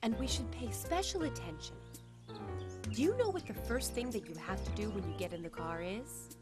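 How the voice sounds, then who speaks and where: A woman's voice: a little high, lively intonation, normal speed, close to an everyday voice. A woman voicing a friendly, instructive cartoon presenter who addresses small children, with music behind. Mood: cheerful, instructive.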